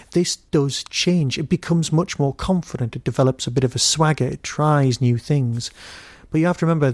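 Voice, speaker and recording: Man, podcast host, informal review, lightly raised pitch, wry asides, emphatic pauses, dry close mic. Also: a little mellow, no room sound.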